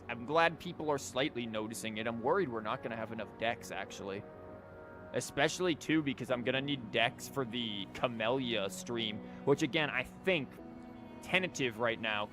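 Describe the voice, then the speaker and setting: A man doing casual live game commentary as a streamer, rambling and wry. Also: mid-range voice. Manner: wry, rambling, mock-quoting. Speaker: game livestreamer.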